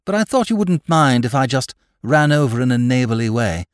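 An affable upper-class English gentleman about town , played by a male narrator. He rambles courteously and breezily, his pitch leaping about in a lively way. The sound is close and dry, like a storybook reading. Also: fairly quick, no room sound, natural voice. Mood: breezy, chatty.

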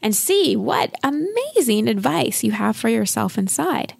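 A woman's voice: fairly high pitch, big pitch swings, measured pace, creaky, breathy edge. A woman hosting a coaching podcast, talking in an encouraging, instructive manner as she explains. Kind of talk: life coaching talk, explanatory.

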